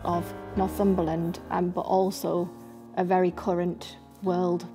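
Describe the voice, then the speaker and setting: A woman's voice: lowish voice, flat delivery, measured pace. Young woman chatting over guitar music. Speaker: creative team panellist. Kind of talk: casual panel discussion. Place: book-lined library room, seated. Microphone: microphone on a stand.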